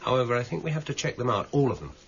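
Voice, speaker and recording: An actor playing a shrewd senior police detective in a radio crime drama, measured, probing and dryly polite. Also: male voice, mid-range voice, big pitch swings, a bit bright, breathy edge, heavily acted. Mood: thoughtful. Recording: dull, muffled radio drama recording.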